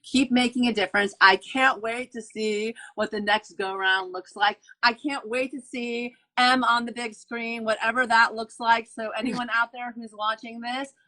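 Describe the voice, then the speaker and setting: A woman's voice: fairly high pitch, fairly even intonation, moderate pace, few pauses, bright, forceful tone, low-quality recording. A woman hosting an author interview, gushing and effusive, often repeating her phrases. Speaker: podcast host. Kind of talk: enthusiastic interview wrap-up.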